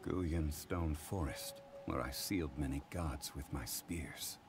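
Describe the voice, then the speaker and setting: An actor playing a calm, solemn ancient man, a wise former god in a video game, who speaks in a formal, measured, reflective way. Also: extremely low pitch, very noisy, exaggerated voice. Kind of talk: English game voice acting, story dialogue.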